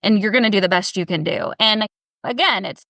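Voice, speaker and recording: A woman with a Southern US drawl speaks with a bright, forceful edge over a dry, close sound. She talks through health topics in a casual, animated way, often piling up rhetorical questions. Her pitch sits in a middling range. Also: brisk, gravelly, no room sound. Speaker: health professional guest. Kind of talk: casual podcast conversation.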